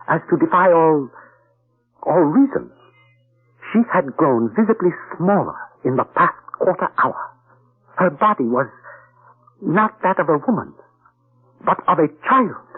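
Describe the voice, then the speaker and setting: An actor playing a calm, courteous detective narrating his own case in an old radio drama. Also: a little high, slightly rough. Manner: polite, measured narration. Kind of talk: 1940s radio acting. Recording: thin, phone-like old radio recording.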